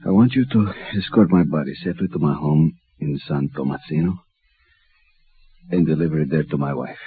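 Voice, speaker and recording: An actor playing a dignified, dying Mexican don in a 1950s radio drama, speaking gravely and formally. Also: male voice, very deep voice, a little fast, exaggerated voice. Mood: solemn. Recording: muffled vintage radio recording.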